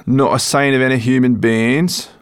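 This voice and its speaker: A man's voice: mid-range voice, fairly even intonation, moderate pace, smooth, no echo, studio quality, voice pushed up, close to an everyday voice. A man voicing a grumpy, bossy female brownie creature with a cross, sarcastic, growling manner. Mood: brisk.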